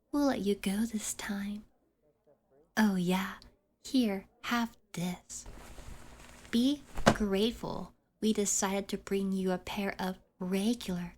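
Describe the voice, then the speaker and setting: A woman's voice: medium pitch, darkish tone. A woman playing a smug school bully's sidekick in an ASMR roleplay, teasing and belittling in a mock-sweet way.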